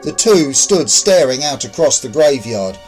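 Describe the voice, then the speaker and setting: A man's voice: medium pitch, sing-song, a little slow, darkish tone, soft background music, poor audio. A man reading a scripted short story as its author and narrator.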